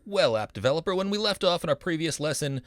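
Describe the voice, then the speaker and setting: A man's voice: a little high, animated, fast, no echo, studio quality. A middle-aged man narrating a scripted programming tutorial as an instructor, with an upbeat, folksy manner and playful asides.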